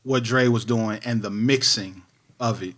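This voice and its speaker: Bright-voiced male podcast host. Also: fairly low pitch, a little slow. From Detroit. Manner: animated, analytical, slangy talk about music production. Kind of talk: casual conversational interview.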